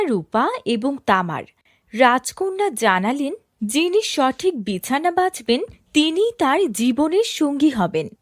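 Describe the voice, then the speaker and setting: Woman, fairy-tale narrator, scripted, smooth storytelling, lively melody. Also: sits high, dry recording, clean studio sound.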